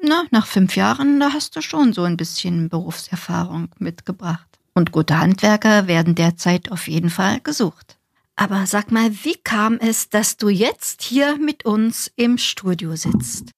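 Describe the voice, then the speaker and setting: A woman reads the news and hosts a radio show in a studio. Her tone is clear and warm. The sound is close and dry, with her voice at a middle pitch. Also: lively intonation, measured pace, no room sound. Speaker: radio news reader and presenter. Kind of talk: read news bulletin and friendly interview.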